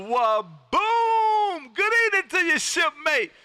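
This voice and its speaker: A high-pitched, very bright and forceful male voice. He hosts amateur radio contacts in an exuberant, folksy way, and the sound is close and dry. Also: big pitch swings, slow pace, no room sound. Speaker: ham radio operator hosting a livestream. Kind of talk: animated on-air radio contact chat. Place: home radio room.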